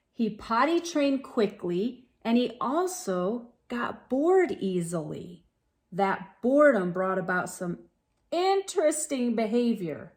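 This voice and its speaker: A woman hosts a read-aloud for children in a fairly high voice. She takes her time, reading at a very slow pace. Her delivery is expressive and full of exclamation, addressed straight to young listeners.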